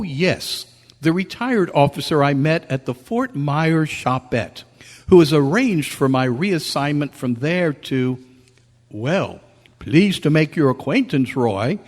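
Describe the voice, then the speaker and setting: A man playing a stiff, courteous military colonel with a husky voice and old-fashioned formal manner, in radio-drama style. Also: on the high side, big pitch swings, slow pace, heavily acted. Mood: puzzled, courteous. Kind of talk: old-time radio style stage acting. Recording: echoey hall at a club meeting.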